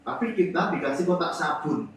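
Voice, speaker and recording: A man's voice: fairly high pitch, moderate pace. Man telling stories in an echoey room. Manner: lively anecdotal, finishes the host's phrases. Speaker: guest, animation studio founder. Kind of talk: casual interview storytelling. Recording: echoey room, distant mic, faint noise.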